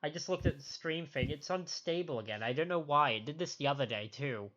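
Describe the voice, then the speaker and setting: A man's voice: a little high, expressive, brisk, a bit bright, dull audio. A man giving casual live commentary as a game streamer. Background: faint game music.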